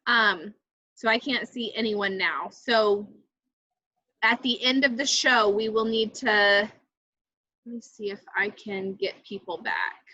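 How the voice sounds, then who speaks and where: A woman's voice: medium pitch, takes it slowly, sharp tone, no echo. A woman moderating a video call, thinking aloud hesitantly as she gives casual technical help. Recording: dull, dry video-call audio.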